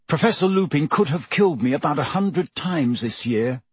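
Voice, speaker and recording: A man voices a defiant teenage boy wizard, pitched higher than the reader's own voice and rising to a yell. The tone stays very husky and dry. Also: expressive, moderate pace, no echo. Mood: reasoning. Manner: defiant, yelling.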